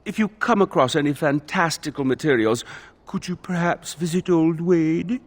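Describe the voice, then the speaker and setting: Man playing a high-voiced, fussy old craftsman. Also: normal speed, close to an everyday voice. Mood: conspiratorial, aggrieved. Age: older. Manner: affected, precious, breathlessly dramatic. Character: fussy, theatrical old male master craftsman, dreamy perfectionist. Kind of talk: fantasy video game acting.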